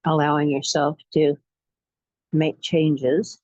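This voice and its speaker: Slow, mellow woman guiding relaxation. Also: deep voice, flat delivery, dry recording, highs cut off. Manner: soothing, unhurried, pausing between short phrases. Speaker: guided imagery facilitator. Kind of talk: guided relaxation meditation.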